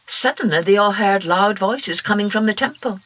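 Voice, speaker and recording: A woman host narrating a children's story, gentle, expressive and unhurried. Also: mid-range voice, poor audio.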